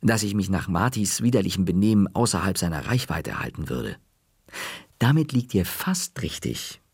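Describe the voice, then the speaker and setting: A man narrating a children's story in a lively, expressive storybook reading with shifting pitch. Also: husky, no room sound, clean studio sound.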